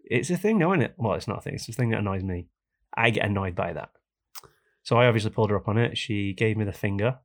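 A man hosting a casual podcast, hoarse and raspy from a cold, ranting jokily about things that annoy him. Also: on the low side, expressive, quick pace. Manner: jokey, complaining rants. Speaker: podcast host.

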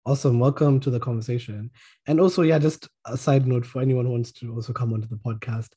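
A man hosting a podcast panel and calmly introducing his guests one by one. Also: medium pitch, breathy edge. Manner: measured, introduces guests politely. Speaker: podcast host.

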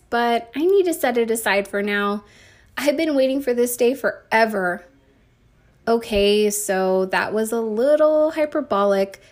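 A woman with a somewhat high voice narrates a first-person story. She reads expressively, like a teacher, with deliberate emphasis on key phrases. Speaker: teacher reading a book aloud.

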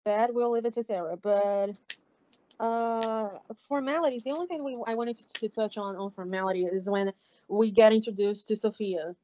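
A woman with a Brazilian Portuguese accent, co-hosting a podcast in a halting, casual way, with many fillers and restarts. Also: mid-range voice, warm tone, slight background noise. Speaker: podcast co-host. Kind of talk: casual recap chat. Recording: slightly dull lo-fi audio.

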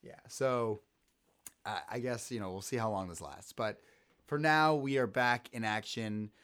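A man's voice: on the low side, measured pace, a bit bright, clear, resonant tone. A man with a scratchy throat, hosting a casual sports podcast and rambling with many restarts. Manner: rambling, self-interrupting. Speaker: podcast host.

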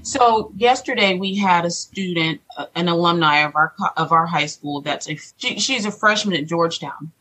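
A middle-aged woman, a teacher being interviewed, talking in an earnest, deliberate, reflective conversational way. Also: lowish voice, bright, forceful tone, a little hiss or hum, low-quality recording. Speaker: teacher, podcast guest.

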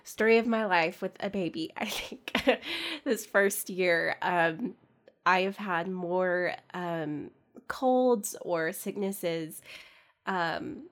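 A young woman hosting a casual solo podcast, chatty and rambling with lots of fillers and soft laughs, sounding congested from a cold. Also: fairly low pitch, takes it slowly. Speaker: podcast host.

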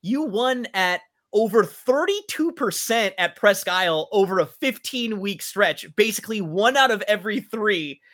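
Higher-pitched male sports interview host. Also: lively intonation, moderate pace, hardly any pauses, bright, forceful tone. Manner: chummy, addresses guest as buddy. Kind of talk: conversational sports interview hosting.

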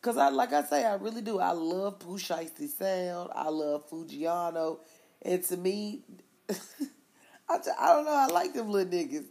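A woman with a stuffy nose talks easily on a podcast. Her voice sits fairly low, and her speech is slangy and relaxed, broken up by frequent laughs. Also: normal speed, gravelly. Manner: laid-back, slangy, laughs often. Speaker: podcast co-host. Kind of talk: casual chat.

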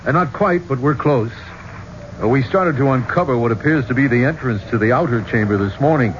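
An actor playing an affable but hot-headed archaeologist with a casual, blunt manner in a 1970s radio drama. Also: male voice, medium pitch, fairly quick, lightly acted. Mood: explaining. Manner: casual, plain-spoken, blunt. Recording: muffled, noisy 1970s radio recording.

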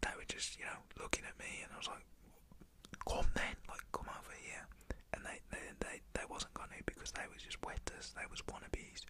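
A young British man telling a personal story in a casual, slangy way, whispered in an ASMR style. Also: fairly quick.

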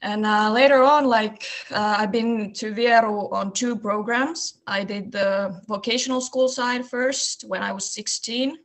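A woman in her twenties from Eastern Finland with a Finnish accent, telling stories as a guest on a podcast, relaxed and full of fillers. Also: mid-range voice, measured pace, hardly any pauses. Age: 20s. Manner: relaxed storytelling with many fillers. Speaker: guest, basketball coach. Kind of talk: casual podcast interview.

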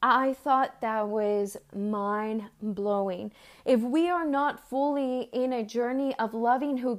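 Earnest woman giving a personal sermon. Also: on the high side. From Mexico. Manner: earnest, conversational, with frequent fillers and restarts. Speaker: church speaker.

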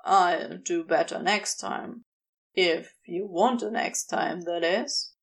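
A woman voicing a quietly commanding but tender male lover in an audiobook, speaking in an intimate, coaxing way. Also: on the low side, slow pace, creaky, slightly rough, pitched below the performer's own voice, heavily acted. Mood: tender, casual.

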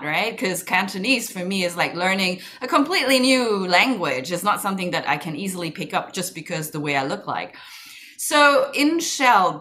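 Bright-voiced woman telling her own story. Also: on the low side, slight background noise. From Germany. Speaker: podcast guest. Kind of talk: personal introduction on a podcast, conversational storytelling.

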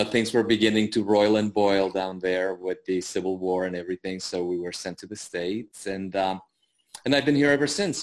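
A man from El Salvador, a guest court interpreter, telling stories in a relaxed interview with fillers and chuckles. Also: low voice. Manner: chatty storyteller with fillers and chuckles. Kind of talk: casual interview talk.

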